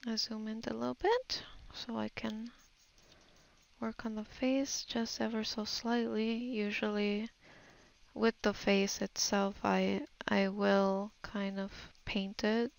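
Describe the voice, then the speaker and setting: A woman narrates a digital art tutorial in an unscripted voice-over. Her delivery is slow and hesitant, with a creaky, fried edge to the voice. She muses to herself as she works through each step. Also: medium pitch, level tone. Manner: hesitant, musing, thinking aloud. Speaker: digital artist giving a tutorial. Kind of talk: unscripted voice-over of a painting process.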